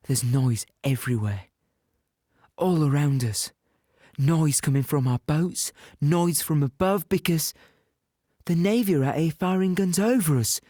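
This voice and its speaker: Plainspoken infantryman, soft and mellow. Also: fairly high pitch, no echo, clean studio sound. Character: young British infantryman recounting war, plainspoken, weary, wistful. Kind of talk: audio play monologue, reminiscing in present tense.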